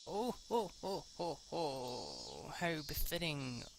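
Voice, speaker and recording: Visual novel schoolgirl prankster, played by a man, smug and dainty, pitched up, slow mock-elegant delivery, some background noise. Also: wildly swooping pitch, gravelly, full character voice. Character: teasing, haughty schoolgirl prankster with dainty formal speech.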